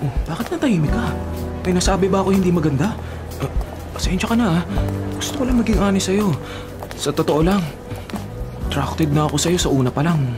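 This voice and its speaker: An actor with a Filipino accent playing an earnest, smooth-talking young suitor in a radio drama. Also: male voice, high voice, animated, fairly quick, pauses often, music in the background. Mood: earnest, wooing.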